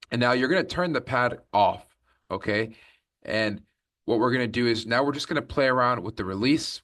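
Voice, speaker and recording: A man's voice: medium pitch, fairly bright tone, far from the mic. A man hosting a music production tutorial, explaining step by step and often checking in as he goes. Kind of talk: instructional tutorial narration.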